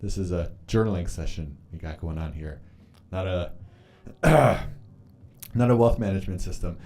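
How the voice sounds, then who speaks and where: Low, mellow-voiced man chatting loosely. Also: moderate pace. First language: Polish. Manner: rambling, swears freely, loose and candid. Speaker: livestreamer reading chat. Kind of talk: casual live-stream chat while journaling.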